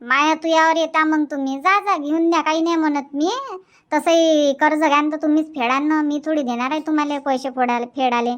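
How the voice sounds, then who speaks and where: Woman as a very high-pitched nagging village wife. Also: fairly even intonation, brisk, highs cut off, lightly acted. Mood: sarcastic, huffy. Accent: Varhadi Marathi from Vidarbha. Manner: complaining, sarcastic, rambling. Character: nagging, thrifty village wife, resentful of her in-laws. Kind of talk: dubbed cartoon comedy dialogue.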